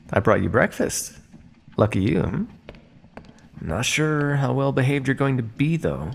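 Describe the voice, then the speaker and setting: A man's voice: mid-range voice, gravelly, a little hiss or hum. A man playing a smug, teasing vampire scientist captor in an ASMR roleplay, speaking with a theatrical, drawling, mock-polite and sardonic manner. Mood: teasing, wry. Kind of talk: ASMR roleplay monologue addressed to listener.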